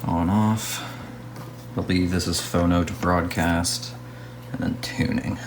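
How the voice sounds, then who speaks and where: A man narrating a casual hands-on restoration video as a hobbyist, musing aloud to himself in an unhurried way. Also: deep voice, fairly even intonation, background noise.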